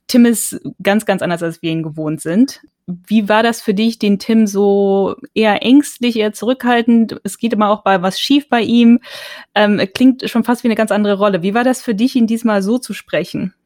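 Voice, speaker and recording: A woman's voice: medium pitch, normal speed, darkish tone, no echo. Curious woman host, dry close sound. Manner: friendly, curious, asks follow-up questions. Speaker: podcast co-host. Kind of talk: casual interview chat.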